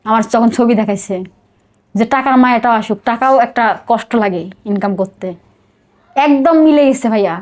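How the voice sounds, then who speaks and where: Bangladeshi woman, middle-aged podcast guest. Higher-pitched, rapid, emotional confessional delivery that circles back on itself. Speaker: podcast guest telling her life story. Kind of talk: personal confessional interview.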